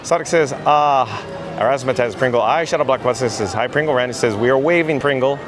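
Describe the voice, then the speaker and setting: A hoarse man with a raspy voice hosting a live theme park vlog outdoors, chatting and reading viewer comments aloud. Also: mid-range voice, a little fast, music in the background. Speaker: livestream host.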